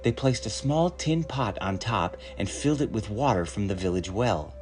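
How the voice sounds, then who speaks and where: A man reading a children's story aloud in a measured, expressive storytelling manner. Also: fairly low pitch, over music. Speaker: story reader.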